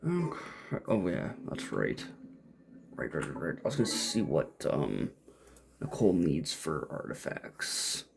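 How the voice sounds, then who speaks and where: A man's voice: rumbling low, huge pitch swings, a little slow, gravelly, a little hiss or hum. A man giving casual, off-the-cuff gameplay commentary, muttering and thinking aloud to himself as he plays. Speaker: gamer playing and commenting.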